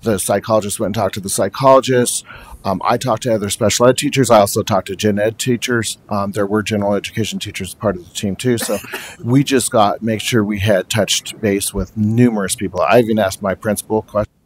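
Guy teacher talking thru a board presentation, lowish voice, lots of ums, faint hum behind. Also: a little fast. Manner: steady and explanatory, with frequent fillers. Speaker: special education teacher presenting to a school board. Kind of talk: formal presentation. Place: large school board meeting room.

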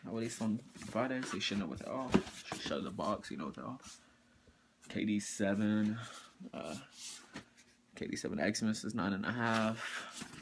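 A teenage boy talks through his new clothes and sneakers in a bedroom, up close to a camera mic. His voice sits slightly low for a guy, and he rambles, stopping and restarting often. Faint clicks and noise sit behind him. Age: teens. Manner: rambling, halting, many fillers and restarts. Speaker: sneaker vlogger. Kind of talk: casual haul video. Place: bedroom, indoors. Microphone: camera or phone mic up close.

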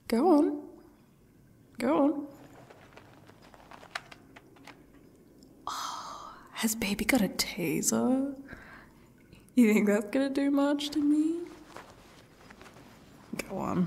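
A woman playing a defiant captive who taunts her torturer with bitter sarcasm in an ASMR roleplay, husky and often dropping toward a whisper, in halting, mocking phrases. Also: on the high side, big pitch swings, slight background noise, heavily acted. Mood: taunting. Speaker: audio roleplay performer. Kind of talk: ASMR roleplay monologue acting.

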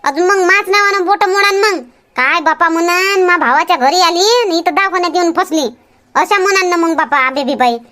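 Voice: extremely high pitch, a little fast, bright, forceful tone. A performer voicing a busy, nagging village housewife and mother in a cartoon comedy, with a rural Vidarbha Marathi accent. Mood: earnest, chatty.

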